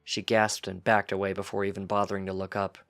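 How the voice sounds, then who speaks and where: Low-voiced man narrating a story. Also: completely flat delivery, fast talker, fairly bright tone, clear, resonant tone, soft background music. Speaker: fanfiction reader.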